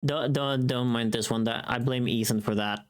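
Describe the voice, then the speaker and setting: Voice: medium pitch, fairly even intonation, normal speed. A livestreaming VTuber with a mid-range voice, talking casually to chat. The delivery is loose and rambling, teasing and informal, with a bit of mild swearing.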